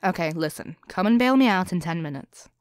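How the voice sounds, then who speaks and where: A woman's voice: fairly low pitch, animated, brisk, clean tone, no room sound, studio quality, lightly acted. A woman acting in an audio roleplay as a tough, confident spy with a tender private side, wry and self-assured. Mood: impatient.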